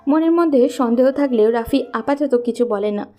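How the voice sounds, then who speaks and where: A woman with a fairly high, soft-toned voice narrates a scripted story. Light music plays behind her narration. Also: moderate pace.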